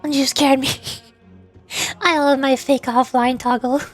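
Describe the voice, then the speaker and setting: A chatty, playful woman talks to her viewers while she plays a game, in a high, bubbly voice. She speaks into a desk microphone, and faint music plays underneath. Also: level tone, slow pace. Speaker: VTuber streamer. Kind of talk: casual live-stream gameplay chat.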